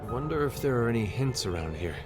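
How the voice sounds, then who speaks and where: An actor playing a puzzled, thoughtful adventurer who reasons aloud deliberately in a mystery video game. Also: male voice, mid-range voice, expressive, lightly acted. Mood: puzzled, matter-of-fact. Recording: game music playing behind. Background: video game music.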